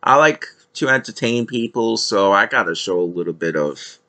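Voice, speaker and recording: A man's voice: on the low side, lively intonation, moderate pace, sharp tone. A young man on a gaming headset in his living room, casually reacting to his own footage and rambling with many fillers. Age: young adult. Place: living room with a couch, indoors.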